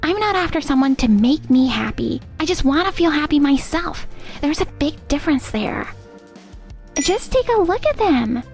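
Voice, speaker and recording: A woman's voice: high-pitched, sing-song, moderate pace, darkish tone, faint music behind. A woman playing a blunt, fed-up young girlfriend in a manga dub, with an exasperated, dramatic manner. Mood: reproachful. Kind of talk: animated manga dub dialogue.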